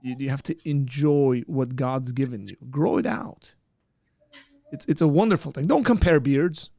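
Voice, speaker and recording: A man's voice: mid-range voice, moderate pace, gravelly, warm tone, no room sound, studio quality. A man in his thirties hosting a live stream in casual chat, rambling with many fillers and restarts. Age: 30s. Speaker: host of a live birthday stream. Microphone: streaming setup with green screen.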